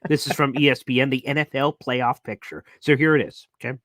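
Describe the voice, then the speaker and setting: A man hosting a live sports talk show, walking through standings with growing excitement. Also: mid-range voice, a little fast. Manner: excitable, hyped breakdown of standings.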